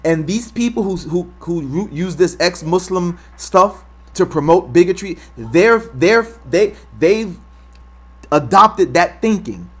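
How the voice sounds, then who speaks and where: A man's voice: sits high, animated, slow pace, breathy edge. A man hosting his own commentary show, arguing emphatically and repeating his points with frequent rhetorical "right?". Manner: emphatic, repetitive, argumentative. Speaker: host. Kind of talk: opinion commentary on a clip.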